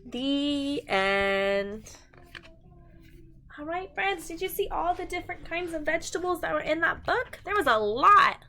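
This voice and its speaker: A woman's voice: sits high, animated, unhurried, pushed and bright, slight background noise. A woman reading a picture book aloud to young children in a sing-song manner, speaking to them directly as a teacher would.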